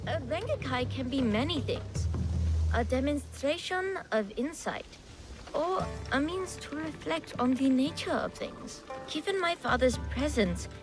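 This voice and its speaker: An actress playing an earnest young heir of a samurai clan in a video game, speaking politely and articulately. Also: female voice, high-pitched. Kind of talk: video game cutscene acting.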